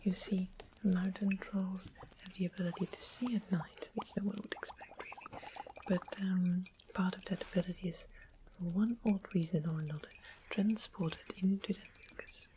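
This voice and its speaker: A woman playing a sweet-mannered witch in a medieval fantasy ASMR roleplay, whispering softly and explaining things in an unhurried, gently wry way. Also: mid-range voice, fairly even intonation, background noise, close to an everyday voice. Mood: confiding, gently wry. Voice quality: soft breathy whisper.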